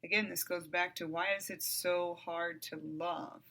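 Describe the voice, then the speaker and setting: A middle-aged woman hosting her own podcast, talking bluntly and with profanity in a calm, even voice that flares up loud at times. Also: on the low side, a little slow. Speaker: podcast host. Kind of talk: candid monologue.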